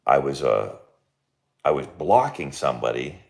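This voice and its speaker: Deep, gravelly guy with a fading hoarse voice, casually rambling through live game commentary. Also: sing-song, a little slow. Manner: rambling, filler-heavy with many likes and restarts. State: hoarse, losing his voice. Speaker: baseball coach streaming live.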